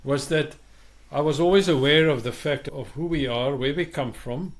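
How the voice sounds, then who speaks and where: A man's voice: on the high side, moderate pace. A middle-aged man with a South African accent, co-hosting a podcast and speaking in a thoughtful, emphatic way about migration. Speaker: podcast co-host. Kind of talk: reflective podcast conversation.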